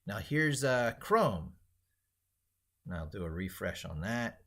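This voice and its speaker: A man with a low voice hosts a casual live stream. He talks through what he is doing on screen in a rambling way, thinking aloud as he goes. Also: sing-song, slow, a bit bright. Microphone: speaking into a microphone.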